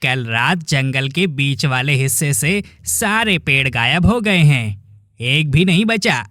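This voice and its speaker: A man's voice: on the high side, expressive, slow, bright, forceful tone, no echo, studio quality, full character voice. A man voicing a bold, teasing young villager in a dramatic cartoon. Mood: mysterious, grave. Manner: familiar, teasing, emphatic.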